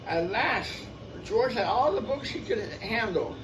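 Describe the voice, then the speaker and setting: A man's voice: high-pitched, expressive, unhurried. A man reading a children's picture book aloud expressively, adding casual asides and repeating phrases for young listeners. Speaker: storyteller. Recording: noisy, picked up from a distance.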